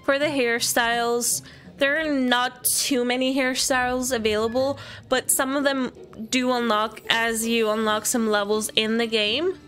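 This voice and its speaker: A woman's voice: fairly high pitch, fairly bright tone. A woman giving a casual walkthrough commentary of a video game. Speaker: gaming video presenter.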